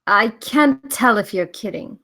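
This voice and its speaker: A woman's voice: mid-range voice, expressive, measured pace, creaky, sharp tone, heavily acted. A woman playing a young female companion, wistful and dryly skeptical, at a script table read. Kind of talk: table-read character acting.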